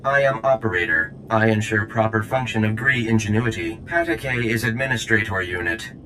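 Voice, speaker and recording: A man voicing a stiff, haughty alien operator in a video game, speaking in stilted formal phrases with deliberate delivery. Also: fairly low pitch, background noise, natural voice. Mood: resentful, stiff. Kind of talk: video game character acting.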